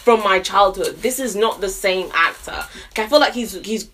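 A woman's voice: medium pitch, moderate pace, a bit bright. A woman, co-hosting a casual film review in a small room and giving blunt, teasing opinions. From Nigeria. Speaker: co-host of a movie review channel.

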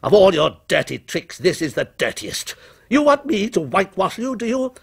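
Cornered male writer, voiced by a male narrator: storybook victim, agitated and desperate, highish creaky voice. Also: normal speed. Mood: defiant outrage. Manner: stammering, agitated. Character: frightened male writer, desperate, finally defiant.

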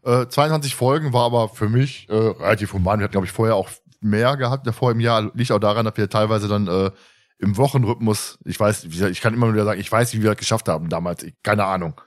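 Fast-talking, chatty male podcast host. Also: on the low side. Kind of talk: casual podcast chat.

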